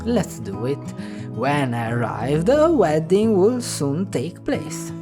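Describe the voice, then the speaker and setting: A man's voice: on the high side, big pitch swings, slow pace. A man with an Italian accent, a native Italian speaker from northern Italy, narrating his travel vlog in a wry, chatty way. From Piedmont, northern Italy. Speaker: travel vlogger. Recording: music underneath.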